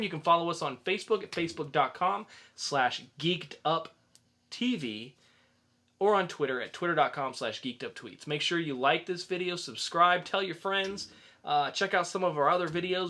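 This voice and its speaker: A man's voice: a little high, pushed and bright. A man co-hosting a podcast in a casual, chatty style. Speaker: podcast co-host. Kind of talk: casual chat with a quiz segment.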